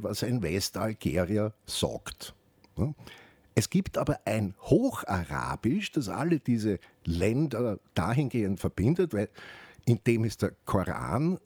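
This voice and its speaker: Husky, low-voiced radio man. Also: darkish tone, no room sound. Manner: halting, reflective, explanatory asides with rhetorical questions. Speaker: radio show host. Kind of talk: unscripted spoken radio commentary with explanations.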